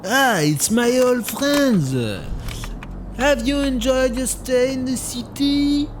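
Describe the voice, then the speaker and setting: A man's voice: very high voice, slow pace. A man playing a friendly, philosophical taxi driver in a radio drama, English. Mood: friendly, teasing. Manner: non-native English, earnest, gently musing. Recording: clearly noisy street and car ambience.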